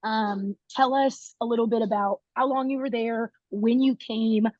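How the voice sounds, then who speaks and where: A woman's voice: a little high, steady tone, measured pace, a little mellow, no room sound, dull audio. A young woman hosting a casual video interview, upbeat and chatty with plenty of fillers. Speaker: interview host.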